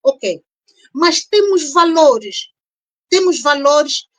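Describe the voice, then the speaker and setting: A woman's voice: high-pitched, lively intonation, very slow, no room sound. An Angolan woman with an Angolan Portuguese accent, a doctor guest explaining points one by one in a didactic way. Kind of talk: explanatory interview talk.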